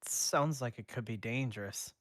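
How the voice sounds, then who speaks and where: A man's voice: mid-range voice, normal speed, fairly bright tone, no room sound, studio quality, close to an everyday voice. A man reading as a blunt teenage ghost hero. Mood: sceptical.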